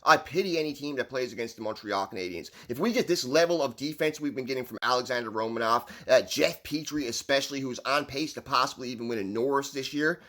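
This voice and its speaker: A man's voice: mid-range voice, fast, hardly any pauses, fairly bright tone. A man with a Newfoundland accent, giving upbeat, rambling hockey commentary on his own channel. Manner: earnest, upbeat, rambling with restarts. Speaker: hockey vlogger.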